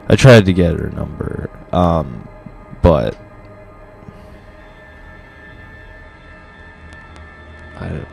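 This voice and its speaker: A man plays a low-voiced, boastful host of a comedy monster documentary. He sounds pompous and easily wounded, rambling awkwardly as he presents himself as an expert, with music underneath. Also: creaky, a little mellow, exaggerated voice. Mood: sheepish. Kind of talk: mock-documentary presenting with petulant asides.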